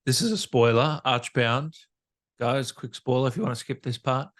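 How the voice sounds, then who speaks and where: A man with a medium-pitched voice hosts a casual interview. He speaks informally and conversationally, reacting with enthusiasm and putting questions to his guest. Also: moderate pace, a bit bright. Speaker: interview host. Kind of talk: casual interview chat, reading audience questions.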